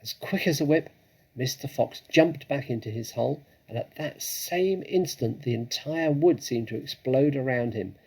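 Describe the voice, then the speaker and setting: A man narrating a children's story dramatically, with expressive, suspenseful phrasing. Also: medium pitch, normal speed, breathy edge, low-quality recording. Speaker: storybook reader.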